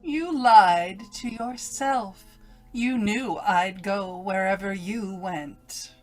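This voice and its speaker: Slow, menacing female evil alter ego, acted by a woman. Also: medium pitch, faint music behind. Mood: gloating. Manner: mocking, menacing, taunting laughter. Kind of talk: old-time radio horror drama acting.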